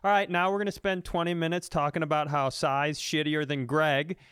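A man with a smooth, resonant voice recorded very dry tells casual comic stories as a podcast host. He is animated and profane, leaning into exaggerated disbelief as he banters. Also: on the high side, moderate pace, no echo. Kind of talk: casual comedic storytelling banter.

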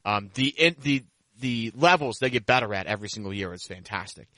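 A man with a bright, forward voice chats casually about sports as a podcast host, often repeating himself for emphasis. The sound is very dry, with no room around it. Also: lowish voice, lively intonation, brisk, no echo.

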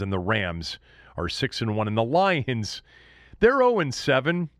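A man's voice: medium pitch, a bit bright, no echo, studio quality. A man hosting a sports podcast, giving casual, opinionated recaps in an emphatic, conversational way. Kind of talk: casual sports recap commentary, talking solo to listeners.

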